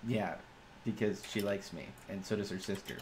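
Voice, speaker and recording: A man's voice: lowish voice, steady tone, normal speed, a loud crowd. A man improvising in character during a tabletop roleplaying game, speaking hesitantly and often repeating or restarting his phrases.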